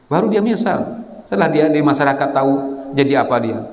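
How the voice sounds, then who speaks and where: A man's voice: a little high, expressive, fast, darkish tone. A man giving a religious exhortation to students, admonishing and repeating his points, often checking in with listeners. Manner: repetitive, admonishing, frequent "ya" check-ins to listeners. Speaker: Islamic boarding school teacher and preacher. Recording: long hall reverb.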